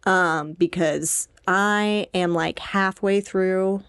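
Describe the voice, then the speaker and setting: A woman's voice: mid-range voice, fairly even intonation, extremely slow, fairly bright tone, clean tone. A young woman co-hosting a podcast, chatting about books in a casual, slangy, jokey way. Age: young adult. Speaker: podcast co-host. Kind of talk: casual podcast book chat.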